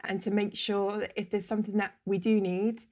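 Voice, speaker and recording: A woman, telling her story as a podcast guest, open and quick to laugh, speaking over a video call. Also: mid-range voice, level tone, a little mellow. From England. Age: 30s. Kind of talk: casual interview chat. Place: home room, indoors.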